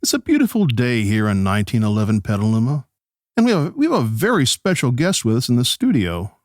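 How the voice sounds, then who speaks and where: An actor playing a showy 1911 radio host who announces and moderates a debate in a radio play. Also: male voice, medium pitch, wildly swooping pitch, fairly quick, dry recording, studio quality. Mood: upbeat, showy. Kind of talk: old-time radio show hosting.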